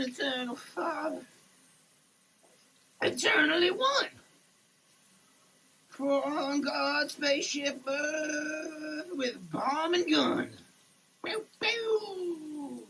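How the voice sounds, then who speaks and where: A performer giving a halting, theatrical, declamatory dramatic reading in a running shower. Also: sits high, sing-song, sharp tone, slight background noise, rough recording. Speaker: solo sketch performer. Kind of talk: dramatic reading of a product label. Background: running water.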